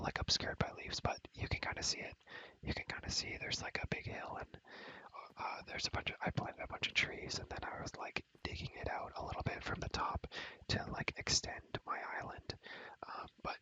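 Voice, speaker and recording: A man's voice: bright, forceful tone, low-quality recording. A young man whispering breathily in a casual, slangy ASMR gameplay commentary, rambling and repeating his phrases. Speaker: ASMR video creator, gamer.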